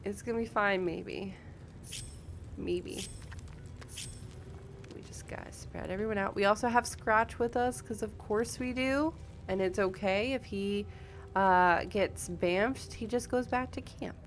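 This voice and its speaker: A woman's voice: medium pitch, measured pace, slight background noise. A woman giving casual, chatty live game commentary, talking informally to viewers and to the characters. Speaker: live streamer.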